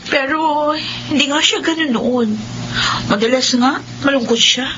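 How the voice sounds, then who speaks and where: An actress playing a good-natured, bashful young sister in a Filipino radio drama, chatty and animated. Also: female voice, sits high. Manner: chatty, animated, quick to protest. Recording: dull lo-fi audio with background noise.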